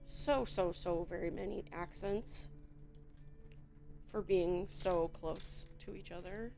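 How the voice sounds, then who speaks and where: A Midwestern woman with a Chicago accent, chatting in a rambling, relaxed way while she builds a toy set on stream. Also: on the low side, vocal fry, soft background music. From Midwestern United States. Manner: chatty, rambling, slow and drawn out, with frequent fillers.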